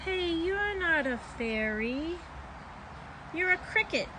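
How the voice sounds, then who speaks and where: A woman's voice: extremely high pitch, big pitch swings, slow, background noise, pitched above the performer's own voice, exaggerated voice. A woman voicing an eager, curious child in a storybook, exclaiming in wide-eyed wonder. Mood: surprised. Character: eager, curious child who hunts for fairies. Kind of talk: storybook character voice.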